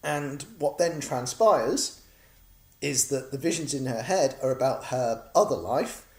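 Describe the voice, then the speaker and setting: A man's voice: mid-range voice, measured pace, a little mellow, reverberant. An English man from Norwich giving a casual, rambling update to backers of his comic project, with many ums and restarts. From Norwich, England.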